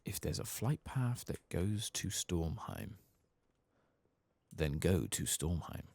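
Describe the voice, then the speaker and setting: A soft-spoken man doing ASMR-style game commentary, muttering casually to himself as he thinks aloud and sometimes dropping into a whisper. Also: low-pitched, expressive, slow, no echo. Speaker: gaming video host.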